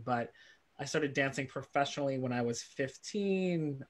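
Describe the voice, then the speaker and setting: A man from Massachusetts speaking slowly and deliberately on a video call, with easy laughs. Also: mid-range voice. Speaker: guest dancer and meditation teacher. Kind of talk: casual chat.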